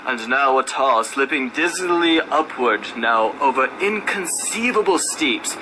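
A man's voice: medium pitch, animated, unhurried, sharp tone, poor audio. A man giving a solemn, theatrical dramatic reading of a horror story as narrator. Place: radio station room. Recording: faint background noise at radio station.

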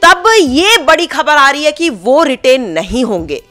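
High-voiced young woman hosting panel. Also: sing-song, a little slow, bright, forceful tone, faint music behind. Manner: leads the talk, poses questions to the panel. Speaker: host. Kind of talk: hosting a studio sports discussion. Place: indoor TV studio set. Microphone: handheld mic.

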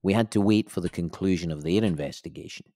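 An actor playing a measured, formal investigator of a suspicious death in an audio drama. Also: male voice, low-pitched, dark tone, close to an everyday voice. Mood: measured, official. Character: dry, officious male investigator of a suspicious death.

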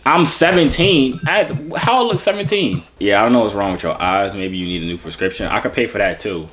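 Animated young man, playfully teasing. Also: fairly low pitch, a little fast. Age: 20s. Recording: clearly noisy room recording with clicks.

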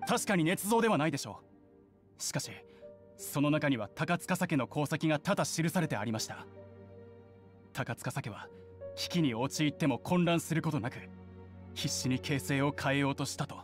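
An actor playing an earnest young male clan heir in an anime game, speaking politely, formally and deliberately. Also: fairly high pitch, animated, faint music behind, close to an everyday voice. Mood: earnest, accusing. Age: young adult. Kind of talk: anime game character acting.

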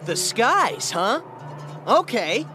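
A brash young hedgehog hero from a video game, with a high voice that swoops up and down. He talks in a cocky, wisecracking way over game music. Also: sing-song, extremely slow, pushed and bright, full character voice. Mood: breezy. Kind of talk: video game cutscene voice acting.

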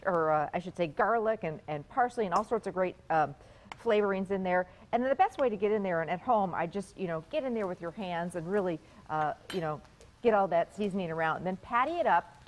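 A woman's voice: medium pitch, slight background noise. Upbeat woman hosting a cooking spot. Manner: chatty, upbeat, talks while cooking. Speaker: TV cooking segment host. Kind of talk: morning TV cooking demo. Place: TV studio kitchen set.

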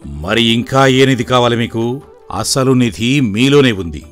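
A man voicing a wise, calm old village father in an animated moral story, speaking in a measured, explanatory way. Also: medium pitch, lightly acted. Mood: calm, instructive. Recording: voice over background music.

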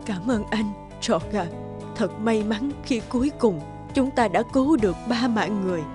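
An actress playing an emotional, grateful middle-aged mother in a cartoon dub, speaking in an earnest, expressive way. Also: mid-range voice, normal speed, natural voice. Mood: moved, grateful. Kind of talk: animated film dubbing. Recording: loud background music. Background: music.

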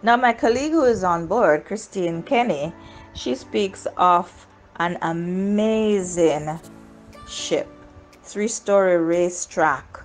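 A woman with a low voice narrates an informal travel promotion over music. She talks slowly and deliberately, breaking her sentences into short, halting phrases. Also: a little mellow. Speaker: travel agent and video host.

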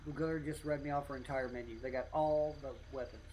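A man's voice: mid-range voice, steady tone, a little fast, darkish tone. A man talking casually with his crew in a gaming voice chat. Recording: noisy game audio with gunfire.

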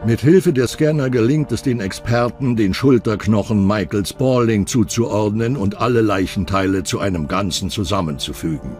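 A man's voice: fairly low pitch, moderate pace, few pauses, a little mellow. A man reading scripted true-crime documentary narration as the narrator. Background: background music.

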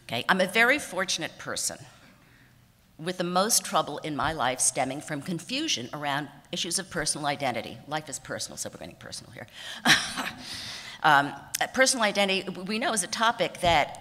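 A woman in her sixties reads her acceptance speech at a podium microphone, her voice low yet bright and forward. She is warm and self-deprecating, with light laughs and small restarts. The sound rings with the long reverb of a banquet hall. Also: lively intonation, fairly quick. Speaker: award honoree giving an acceptance speech. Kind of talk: prepared speech read from notes. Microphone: podium microphone on a stand.

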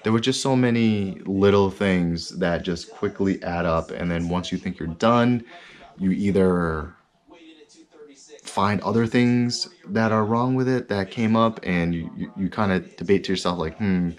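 A man in his thirties talks straight to his camera in a small finished basement, earnest and rambling, full of "um" and "you know" as he circles back on his words. His voice sits very low and deep. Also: measured pace. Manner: rambling, earnest, many fillers and restarts. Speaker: vlogger. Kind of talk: casual vlog to camera. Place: small finished basement room with bed, indoors.

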